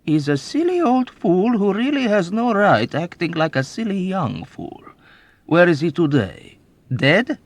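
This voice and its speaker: A wickedly amused, extremely old scoundrel, put on by a male narrator in a spoken-word story. His voice rides high and unhurried, with silky, teasing pauses and a sweeping melodic range. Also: sing-song, no echo, full character voice. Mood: teasing, scornful. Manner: suave, teasing, drawn-out delivery. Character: sly villainous 107-year-old man, mocking.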